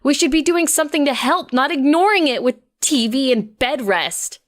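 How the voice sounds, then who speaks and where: A woman's voice: high-pitched, expressive, moderate pace, no echo, clean studio sound, pitched above the performer's own voice. A woman voicing a stubborn, sarcastic young mare who tells her own story in an informal, conversational way. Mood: urgent, determined. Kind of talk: first-person dramatic story narration.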